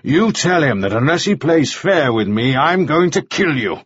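A man voicing a volatile, bullying gold-rush villain in an audiobook, forceful and sarcastic. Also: fairly high pitch, expressive, moderate pace, breathy edge, no echo, highs cut off, voice pushed up. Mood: threatening.

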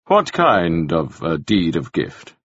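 Cold calculating jailer, man doing the voice, slow and probing, husky. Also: low voice, huge pitch swings, a bit bright, dry recording, pitched below the performer's own voice, exaggerated voice. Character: stern, calculating male jailer. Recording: dull lo-fi recording.

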